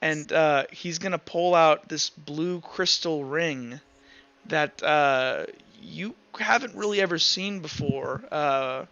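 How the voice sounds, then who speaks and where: A man's voice: fairly high pitch, slow pace, sharp tone, no room sound, dull audio. A man improvising narration as a tabletop game master, informal and hesitant as he pieces the scene together.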